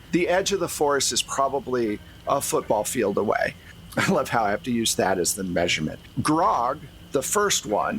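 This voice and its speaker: Higher-voiced man narrating a tabletop game. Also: fairly bright tone, slight background noise, low-quality recording. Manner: conversational, explanatory. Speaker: game master. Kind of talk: improvised narration of a tabletop role-playing game.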